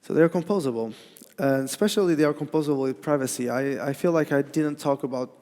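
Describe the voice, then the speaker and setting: A man with a Portuguese accent gives a technical talk in a large hall. His voice is gravelly and sits at an ordinary pitch, and he often stops and restarts his sentences. The sound rings with a long hall reverb. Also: darkish tone. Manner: halting, many restarts and fillers. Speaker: conference speaker.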